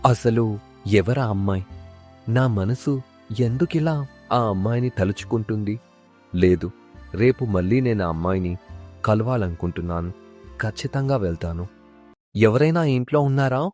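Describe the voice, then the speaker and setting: A man's voice: lowish voice, expressive, normal speed, pauses often, darkish tone, soft background music, natural voice. A man voicing a smitten, admiring, persistent young man in a cartoon moral story. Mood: polite.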